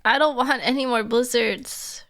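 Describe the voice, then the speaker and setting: A young woman streamer chatting casually and playfully, giggling and teasing herself, her voice hoarse and stuffy from a cold. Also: fairly high pitch, steady tone, unhurried, fairly bright tone. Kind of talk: casual live-stream chat.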